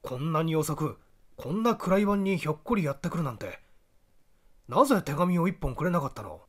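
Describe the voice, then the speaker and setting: Man acting earnest young diner owner, higher-pitched. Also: lively intonation, brisk. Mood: surprised, welcoming. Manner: earnest, conversational, explaining at length. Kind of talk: acted audiobook dialogue.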